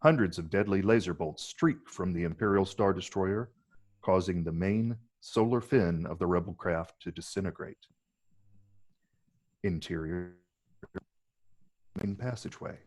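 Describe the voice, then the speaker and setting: A man's voice: sits low, a little slow, long pauses, darkish tone. A man narrating stage directions from a film script in a dramatic table read.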